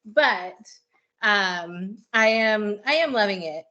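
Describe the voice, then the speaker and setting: A woman with a bright, crisp-edged voice at a middle pitch hosts a casual podcast. She talks informally and with enthusiasm, as if chatting about books with a friend. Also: expressive, slow pace, dull audio. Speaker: podcast host. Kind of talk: casual book chat.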